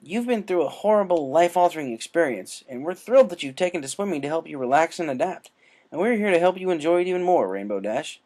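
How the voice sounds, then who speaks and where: A man voicing an upbeat male pony swim team captain, energetic and encouraging, in a cartoon story reading. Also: on the high side, fast talker, pitched above the performer's own voice, natural voice. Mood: enthusiastic.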